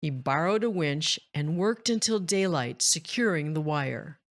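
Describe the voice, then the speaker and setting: A woman narrating a children's story aloud as host, in a measured, deliberate, expressive read-aloud style. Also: deep voice. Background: music.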